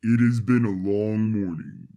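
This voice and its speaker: A man's voice: fairly low pitch, steady tone, a little slow, dark tone, pitched below the performer's own voice, close to an everyday voice. Man voicing a cold, imperious robot executive. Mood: haughty, matter-of-fact. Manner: formal, stiff, curt delivery. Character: cold, imperious male robot executive, humorless and easily irritated.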